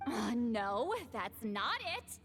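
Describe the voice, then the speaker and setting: A woman's voice: on the high side, sing-song, slow pace, a bit bright, a little hiss or hum, exaggerated voice. A woman voicing an aloof, prickly, youthful-sounding shaman in an anime game, curt and dismissive. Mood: flustered. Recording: game music behind.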